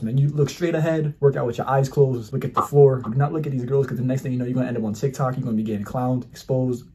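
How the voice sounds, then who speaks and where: A young man rattles off casual reaction commentary at a very rapid clip, boasting and mocking as he goes. His voice sits on the dark, mellow side. He sits close to the camera in a small indoor room. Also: mid-range voice. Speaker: commentary YouTuber.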